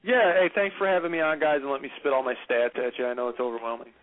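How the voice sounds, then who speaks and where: A man's voice: mid-range voice, expressive, fast talker, no echo. Male caller on a dry phone line. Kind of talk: call-in conversation.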